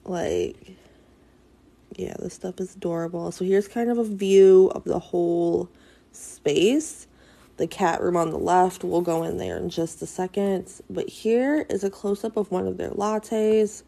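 A woman's voice: on the low side, fairly even intonation, a little slow, creaky. A woman in her thirties with a stuffy nose, casually narrating a tour of a small cat cafe shop with lots of fillers. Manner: chatty, filler-heavy, upbeat and easygoing. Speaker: small business vlogger. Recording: voice over loud background music.

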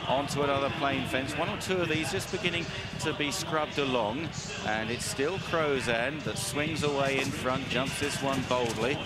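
A man giving live horse racing commentary, calling the runners, then summing up the finish. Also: medium pitch. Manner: builds from steady calling to rapid excited shouting. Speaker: race commentator. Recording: loud outdoor racecourse noise.

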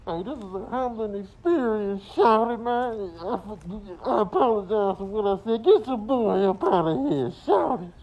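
A man's voice: high-pitched, animated, a little slow, long pauses, dull audio, exaggerated voice. A man voicing a humbled, pleading animal in a comedy dub, begging in repetitive slang.

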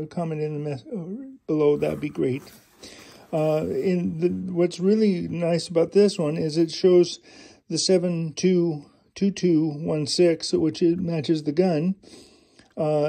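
A middle-aged man in a casual hands-on show-and-tell, halting with many fillers and restarts, recorded indoors by an overhead first-person camera. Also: on the high side, vocal fry, dark tone. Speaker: collector showing an air pistol. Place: indoors at home, carpeted floor.

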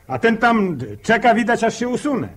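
A male actor playing a proud, uneasy young farmer in a 1960s radio drama, speaking in halting, rustic phrases. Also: very high voice, sing-song, a bit bright, exaggerated voice. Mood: wary. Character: proud, uneasy young village farmer, bitter about gossip. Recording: old 1960 radio broadcast recording.

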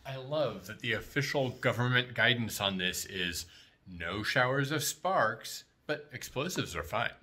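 A man's voice: mid-range voice, lively intonation, a little slow, pushed and bright. A man in his thirties making casual, offhand conversation in an apartment, picked up by someone else's phone from across the room. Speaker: vlogger's housemate.

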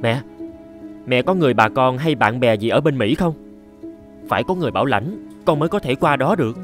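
A man playing a resentful, ambitious teenage son from a poor home in an animated fairy tale, with an accusing, impatient manner. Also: medium pitch, brisk, music in the background, lightly acted. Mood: tense, bitter.

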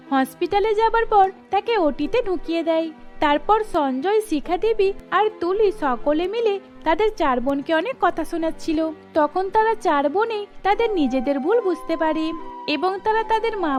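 Very high-pitched woman narrating a story. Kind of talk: scripted cartoon story narration. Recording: music under the voice.

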